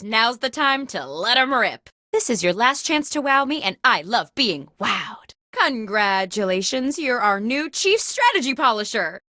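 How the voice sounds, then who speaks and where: A woman's voice: a little high, no room sound, studio quality. A woman playing a peppy, quirky office boss who hosts a comedic video game with mock-corporate patter. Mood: encouraging. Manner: animated, mock-corporate comic delivery. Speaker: video game host character. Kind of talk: scripted comedic game show hosting.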